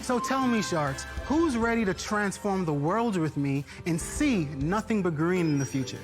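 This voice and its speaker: A young man from the Bahamas, an entrepreneur delivering an upbeat, emphatic sales pitch to investors. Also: animated, moderate pace, music in the background.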